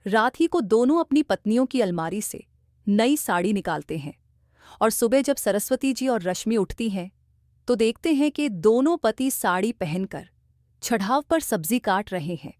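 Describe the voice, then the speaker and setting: A woman tells a scripted cartoon bedtime story as its narrator. Her delivery is very lively in pitch, and the voice-over sounds close and dry. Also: moderate pace, no room sound.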